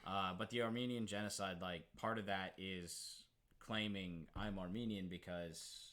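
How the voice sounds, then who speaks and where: A young American man co-hosting a podcast, his voice sitting on the low side. He rambles loosely and swears a lot. He laughs often as he talks. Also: steady tone, unhurried, fairly bright tone. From United States. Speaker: podcast co-host. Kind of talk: casual chat.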